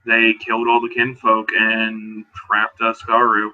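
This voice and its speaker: A man improvising a tabletop roleplaying scene, switching casually between narration and in-character talk in a halting way. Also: lowish voice, completely flat delivery. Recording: dull, muffled online voice-chat audio.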